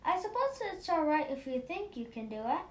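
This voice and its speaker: A woman's voice: sing-song, normal speed. A woman voicing a patient, encouraging mother in a storybook read-aloud. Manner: patient, reassuring. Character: kindly, encouraging mother of a little girl. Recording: roomy echo, faint rumbling noise.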